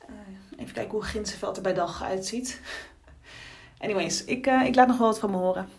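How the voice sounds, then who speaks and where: A woman's voice: mid-range voice, a little fast, close to an everyday voice. An actress playing a curious, chatty young woman reporter, speaking casually and hesitantly as if leaving voice messages in an audio drama.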